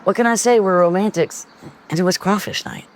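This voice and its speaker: A woman's voice: mid-range voice, fairly quick, a little hiss or hum, natural voice. An actress in an audio drama playing a chatty, encouraging female friend who works as a tour guide. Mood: breezy.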